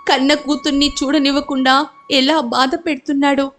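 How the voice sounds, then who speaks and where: A woman's voice: high voice, little pitch movement, normal speed, fairly bright tone, soft background music. A woman voicing a grieving, tearful mother who pleads plaintively in a dramatic audio story. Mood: tearful, reproachful. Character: grieving, tearful mother longing for her daughter.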